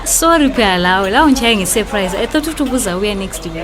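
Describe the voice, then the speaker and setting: An actress playing a coy, expressive young mistress in a radio drama, with a Zimbabwean accent. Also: female voice, mid-range voice, fast, a crowd in the background, close to an everyday voice. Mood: coy, delighted. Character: flattered, coy young mistress, sharp when insulted.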